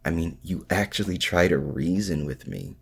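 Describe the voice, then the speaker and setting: A man playing a lovestruck, protective supervillain in an intimate ASMR roleplay, speaking in a halting, earnest way with a gravelly, husky voice. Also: low voice, very animated, full character voice. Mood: worried, pleading. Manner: halting, earnest, with hesitant restarts. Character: lovestruck male supervillain, vulnerable, protective, confessing.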